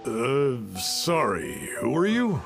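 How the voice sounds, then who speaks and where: A man's voice: medium pitch, huge pitch swings, takes it slowly, gravelly, exaggerated voice. A man playing an ordinary townsman in a video game, wary and easily affronted. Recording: music behind the voice.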